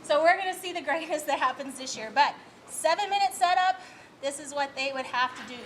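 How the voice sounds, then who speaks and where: A woman's voice: sits high, fairly quick, fairly bright tone, slight background noise. A woman speaking informally and unscripted as a school drama director introducing a play, in a chatty, explanatory way. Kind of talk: informal unscripted speech to an audience.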